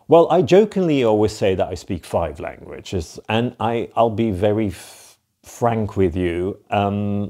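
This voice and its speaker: A man's voice: lowish voice, warm tone. A Flemish man in his fifties, answering viewer questions in a casual, rambling way on a lapel mic in a cosy living room. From Belgium (Flanders). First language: Dutch. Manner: chatty, filler-heavy, repeats "to be quite honest". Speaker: van life vlogger. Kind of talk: casual Q&A to camera.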